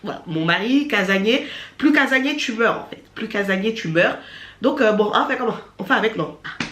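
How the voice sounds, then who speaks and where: A woman's voice: medium pitch, fairly quick, recorded from a distance. Chatty young woman with a cold, echoey room. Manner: chatty and animated, laughing often. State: stuffy nose from a cold. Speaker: vlogger and beauty entrepreneur. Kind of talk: casual vlog talking to camera. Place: at home.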